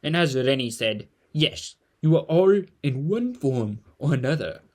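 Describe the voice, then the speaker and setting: An actor playing a kindly, earnest old mentor in a family audio drama, speaking in formal, deliberate phrases. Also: medium pitch, big pitch swings. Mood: earnest, measured.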